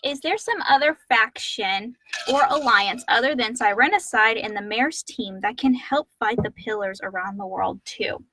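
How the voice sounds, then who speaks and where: A woman's voice: fairly high pitch, moderate pace, pushed and bright, no echo, rough recording. A woman co-hosting a casual question-and-answer show, talking in a conversational way. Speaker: co-host reading listener questions. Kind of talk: casual chat, reading out and discussing questions.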